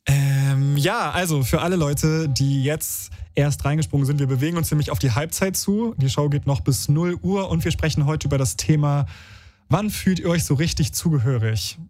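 A man's voice: a little high, normal speed, a little mellow, dry recording. A man co-hosting a live radio call-in show, chatting casually and hesitantly with many fillers.

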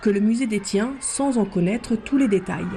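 A woman's voice: medium pitch, normal speed, warm tone, a little hiss or hum. A woman reporter reading a scripted radio report. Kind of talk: scripted news report narration.

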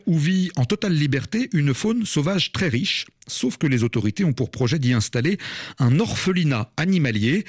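A man reading the morning news bulletin as a radio anchor, in a measured, formal way. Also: mid-range voice, husky, no echo, clean studio sound. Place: radio studio.

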